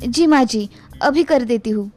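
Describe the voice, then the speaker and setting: Woman as a high-voiced humble young bride. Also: a little slow, mellow, no echo, soft background music, close to an everyday voice. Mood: obedient. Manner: polite, deferential. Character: humble, obedient young flower seller turned daughter-in-law. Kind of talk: Hindi moral story dialogue.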